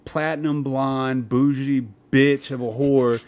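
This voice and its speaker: A man's voice: mid-range voice, steady tone, slow, a little mellow, clear, resonant tone. A young man co-hosting a podcast in casual banter, telling rambling stories full of swearing and filler words. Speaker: podcast co-host.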